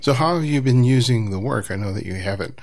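A man's voice: mid-range voice, animated, quick pace, a little mellow. A man hosting an interview podcast, asking thoughtful questions with stammering restarts. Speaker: podcast host.

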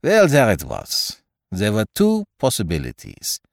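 Fussy, superior male detective, voiced by a narrator, brisk, low-pitched, precise mystery storytelling. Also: wildly swooping pitch, creaky, no echo, studio quality, heavily acted. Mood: explaining, superior. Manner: brisk, precise, gently chiding. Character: shrewd, self-assured, fussy male detective, calmly superior. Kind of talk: dramatised audiobook reading of a mystery story.

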